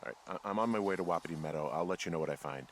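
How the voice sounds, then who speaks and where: A man playing a wary, sardonic fire lookout in a naturalistic video game style, halting and gruffly irritable. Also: low voice, heavy background noise, lightly acted. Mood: wary, dry. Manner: dry, sarcastic, halting, swears freely.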